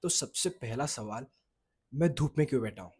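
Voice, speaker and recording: A man's voice: mid-range voice, expressive, normal speed, a little mellow, slightly rough. A young man whose first language is Marathi, talking casually to camera in a vlog, rambling and correcting himself as he goes. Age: young adult. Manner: rambling, loses his thread, self-corrects. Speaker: content creator. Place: outdoors in the sun, at a boys' hostel.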